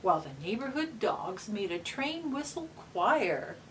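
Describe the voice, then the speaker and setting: A woman talking and reading aloud to young children, explaining things simply and patiently with gentle questions. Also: mid-range voice, big pitch swings, a little slow. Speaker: read-aloud host for children. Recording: distant mic with faint background noise.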